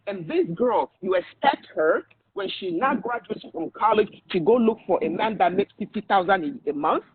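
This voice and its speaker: A man's voice: high voice, lively intonation, normal speed, breathy edge, dry recording. A man with a Nigerian accent hosting a podcast discussion. He speaks assertively and lectures his listeners with blunt advice. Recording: tinny phone-line audio.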